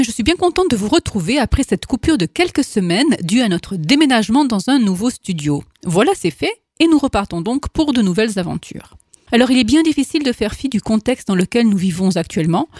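Woman, radio host, measured reader, mid-pitched voice, close and dry studio sound. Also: a little mellow, no room sound. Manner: measured, careful reading with occasional apologetic asides. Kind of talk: reading an article aloud on radio.

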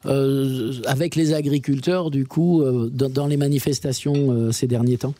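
A man's voice: mid-range voice, fairly even intonation, moderate pace, warm tone. A man hosting a radio interview, asking questions hesitantly with many fillers and restarts. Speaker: radio host.

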